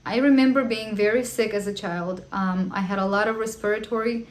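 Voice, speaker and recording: A woman's voice: medium pitch, steady tone, a little fast. Ukrainian-accented woman, chatty Q&A vlogger. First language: Russian. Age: 30s. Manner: chatty and earnest, with the odd filler and slightly non-native phrasing. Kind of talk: casual Q&A monologue.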